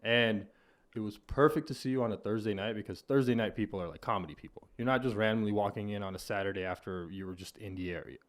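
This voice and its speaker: Low-voiced man hosting a podcast. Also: fast. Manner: thoughtful, rambling, with restarts. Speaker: podcast host. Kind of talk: casual interview chat. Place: home studio with hexagon wall panels. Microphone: boom-mounted studio mic with headphones.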